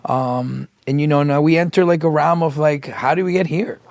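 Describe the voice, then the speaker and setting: A middle-aged man co-hosting a casual podcast chat, his voice raspy and tired after a long day, talking in a rambling way with many fillers. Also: fairly high pitch, steady tone, normal speed, darkish tone, no room sound. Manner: rambling, filler-heavy storytelling. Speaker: podcast co-host.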